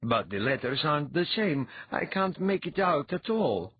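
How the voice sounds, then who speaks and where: A husky-voiced audiobook reader voicing a nervous young woman who speaks slowly and anxiously. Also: medium pitch, very animated, no echo, phone-quality sound, pitched above the performer's own voice. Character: pale blonde girl, nervous and fervent.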